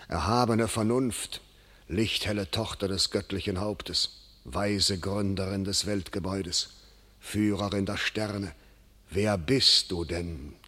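An actor playing a proud, bitter English general dying on a medieval battlefield, declaiming classical German verse solemnly. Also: male voice, low-pitched, a bit bright, husky, full character voice.

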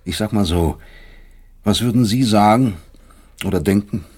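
An actor playing a bitter, brooding middle-aged doctor and professor in a German radio drama, speaking deliberately and rhetorically with sardonic emphasis. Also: male voice, on the low side, darkish tone, slightly rough. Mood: accusing, emphatic. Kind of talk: German radio drama acting, dialogue and inner monologue.

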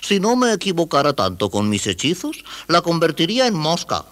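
A man's voice: a little high, huge pitch swings, moderate pace, fairly bright tone, no room sound, voice pushed up. A man voicing a small female magic spider in a children's story, a wry, bungling little spellcaster.

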